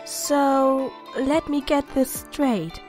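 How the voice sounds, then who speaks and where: Woman acting a young cartoon pony mare, skeptical, caring, fairly high voice, faint music behind. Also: very slow, a little mellow. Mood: skeptical, amused. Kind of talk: audio story character dialogue.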